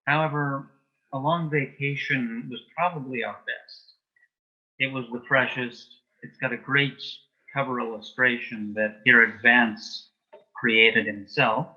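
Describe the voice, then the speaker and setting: Casting: male interview guest, mid-pitched and rough-edged, measured delivery, slightly dull lo-fi recording. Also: steady tone. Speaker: interview guest, author and playwright. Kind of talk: casual chat interview.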